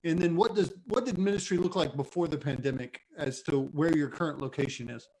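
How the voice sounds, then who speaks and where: A man's voice: fairly high pitch, brisk, darkish tone, low-quality recording. A man hosting the chat in an upbeat, jokey way, calling guests brother. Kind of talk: casual video-call chat.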